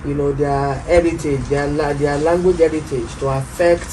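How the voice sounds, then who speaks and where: A man's voice: fairly high pitch, fairly even intonation, normal speed, background noise. A man interviewing a guest in a studio, asking long questions with frequent hesitations and repeated words. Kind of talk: conversational interview.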